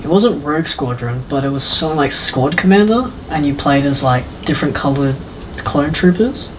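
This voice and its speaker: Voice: mid-range voice, animated, moderate pace, slight background noise, poor audio. A podcast co-host chatting casually about video games.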